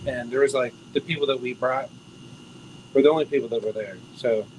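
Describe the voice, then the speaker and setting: An American man in a band telling a story in a casual, animated way. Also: mid-range voice, fairly quick, background noise. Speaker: band member.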